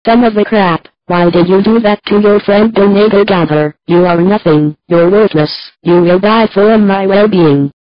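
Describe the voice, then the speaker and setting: A man's voice: high-pitched, normal speed, few pauses, dry recording, muffled recording, natural voice. A hateful, giant virus creature, acted by a man in an amateur monster drama. It taunts and belittles with scorn, in a dry sound with no room around it. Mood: scornful.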